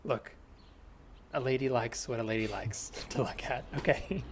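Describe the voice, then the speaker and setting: A man's voice: mid-range voice, normal speed, lightly acted. A man voicing a defensive, evasive wife in an improvised comedy scene, matter-of-fact in manner. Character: defensive, nonchalant wife at car dealership. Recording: background ambience noise, remote recording.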